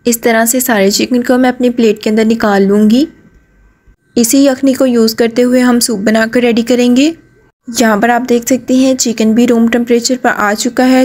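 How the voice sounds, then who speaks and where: Woman narrating a recipe, slightly high voice. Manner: steady step-by-step instructions to the viewer. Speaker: cooking channel host.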